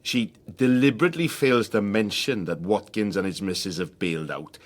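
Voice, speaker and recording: A man's voice: fairly low pitch, moderate pace, close to an everyday voice. An actor playing a blunt, mocking male friend in a radio drama, sardonic, exasperated and emphatic. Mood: incredulous.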